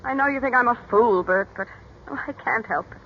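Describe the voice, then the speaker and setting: A woman's voice: on the high side, fairly quick, natural voice. An actress playing a weary, devoted mother confessing to police, in earnest, emotional 1940s radio drama style. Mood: anxious. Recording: dull, noisy 1940s radio recording.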